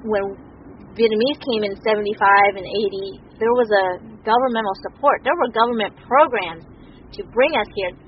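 A woman's voice: mid-range voice, normal speed, highs cut off, a little hiss or hum. A woman being interviewed, speaking conversationally with small fillers as she points things out. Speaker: sociologist interviewee. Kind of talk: casual interview talk.

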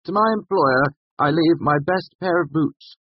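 A man's voice: a little high, lively intonation, brisk, dry recording, muffled recording, voice pushed up. A man voicing a flamboyant young servant with a theatrical, pompous delivery in an audiobook. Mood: grand, theatrical. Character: flamboyant young male servant, grandly dictating a will.

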